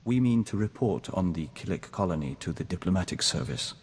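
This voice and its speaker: A man playing a calm, formal diplomat joined to a hive mind, speaking in a measured, earnest way in a video game. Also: deep voice. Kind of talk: scripted video game companion dialogue. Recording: long reverb, audible background noise.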